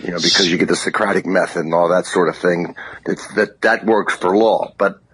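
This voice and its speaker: A plain-spoken, opinionated man with a husky voice, chatting casually as a comedian guest in an interview. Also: sits low, fairly even intonation, brisk, fairly bright tone, slight background noise, poor audio.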